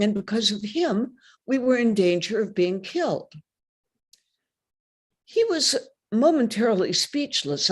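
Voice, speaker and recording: A woman giving a dramatic reading of a first-person literary story in a measured, deliberate way. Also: medium pitch. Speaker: reader of a short story.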